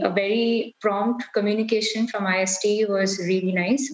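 A young woman from India, a PhD student, answering interview questions thoughtfully, pausing and hedging with fillers. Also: mid-range voice. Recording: echoey room.

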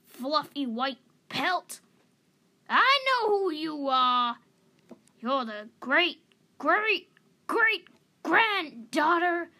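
Woman voicing a croaky, crotchety old she-cat, forceful. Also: sits high, pitched above the performer's own voice, heavily acted. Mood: stern, forceful. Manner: folksy, gruff, bossy. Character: crotchety, ancient, tough female stray cat.

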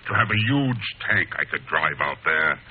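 An actor playing a scornful, grandiose criminal mastermind in a 1940s radio serial, with theatrical delivery. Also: male voice, extremely low pitch, lively intonation, normal speed. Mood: scornful, scheming. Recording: tinny, hissy old radio broadcast.

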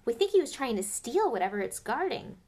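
A woman's voice: fairly high pitch, big pitch swings, brisk, a little mellow, voice pushed up. A woman voicing an eager, earnest young schoolboy wizard in an audiobook.

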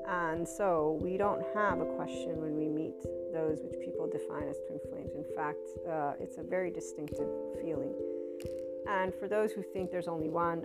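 Woman musing over loud guitar music. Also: lowish voice. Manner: rambling, frank, mixes spiritual and therapy jargon. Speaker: spiritual podcast host. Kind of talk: casual spiritual monologue.

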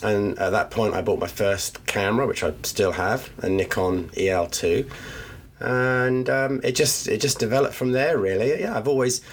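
A man's voice: on the low side, moderate pace, slight background noise. Stuffy-nosed man talking photography. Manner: thoughtful, explanatory. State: congested from flu. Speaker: guest photographer. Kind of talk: podcast interview. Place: his studio. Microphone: phone line.

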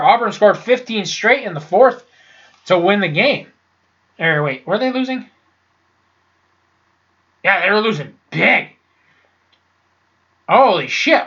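A man's voice: high voice, sharp tone, highs cut off. A young man with a congested, sinus-clogged voice, casually recapping college football scores, blunt and profane, often stumbling and restarting. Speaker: sports podcast host. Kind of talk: casual solo sports recap.

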